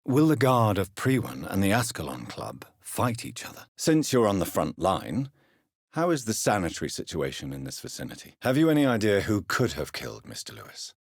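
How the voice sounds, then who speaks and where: Casting: an educated English doctor turned vampire for a game; husky, formal and earnest, close dry sound. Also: male voice, sing-song, no echo, exaggerated voice. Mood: reflective, probing. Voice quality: low husky voice. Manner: formal, measured, earnest phrasing. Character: grave, educated gentleman doctor turned vampire, early 20th century. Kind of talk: video game character dialogue.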